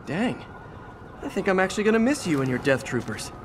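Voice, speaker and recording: A man's voice: sits high, big pitch swings, fairly quick, background noise. A man playing a young, earnest, casual-talking superhero in a video game. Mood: wry.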